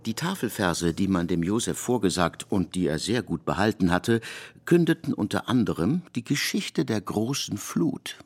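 A man's voice: lowish voice, animated, normal speed, husky. A man delivering scripted literary narration for radio, telling it expressively and with emphasis.

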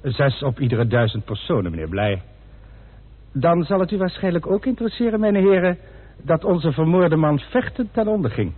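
A man's voice: a little high, very animated, normal speed. An actor playing a self-assured male forensic expert in a radio play, testifying in a precise, formal, lecturing manner. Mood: dryly triumphant. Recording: muffled old radio recording.

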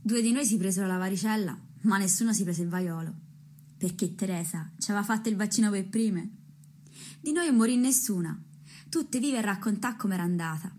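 A woman's voice: mid-range voice, fairly quick, a little mellow, close to an everyday voice. A woman playing a hardened, matter-of-fact country prostitute, telling her tale colloquially in a radio drama. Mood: defiant, wry. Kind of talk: theatrical storytelling in radio drama.

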